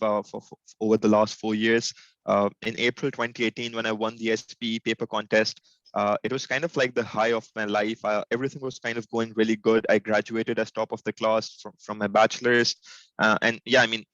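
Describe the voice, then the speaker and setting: A man's voice: fairly low pitch, a bit bright, dry recording, highs cut off. A young man from Pakistan giving an informal, humble webinar presentation over a video call, with lots of fillers and restarts. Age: young adult.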